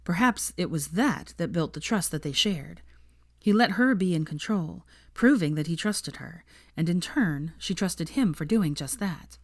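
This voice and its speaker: A woman's voice: fairly low pitch, expressive, measured pace, dry recording, clean studio sound. A woman narrating a story from a script in an audiobook style. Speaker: audiobook-style fan fiction reader.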